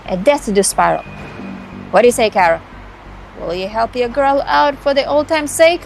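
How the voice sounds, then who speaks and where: A woman playing a scheming, cocky female vampire hacker in an amateur visual novel performance, theatrical and condescending with dramatic pauses. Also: on the high side, gravelly, rough recording. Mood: scheming, persuasive. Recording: music behind the voice.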